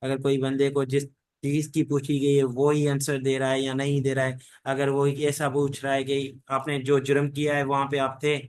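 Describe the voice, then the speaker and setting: A man's voice: mid-range voice, completely flat delivery, normal speed, dry recording. A man teaching a class in an informal, rambling lecture style, often repeating stock phrases. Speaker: teacher giving an online law class. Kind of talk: informal lecture mixing Hindi-Urdu and English legal terms.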